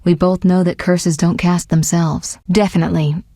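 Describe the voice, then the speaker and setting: A woman's voice: lowish voice, level tone, moderate pace, dark tone, dry recording, clean studio sound. A woman voicing a self-assured, sly sorceress in a video game, speaking in poised, articulate, formal phrases with a teasing edge. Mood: earnest, informative. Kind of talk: scripted video game dialogue.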